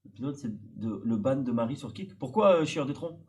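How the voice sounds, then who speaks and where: Rambling Frenchman, mid-pitched, hesitant. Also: lively intonation, brisk, a little mellow. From France. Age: adult older than the host. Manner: rambling explainer, many hesitations. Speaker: stream guest. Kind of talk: casual chat.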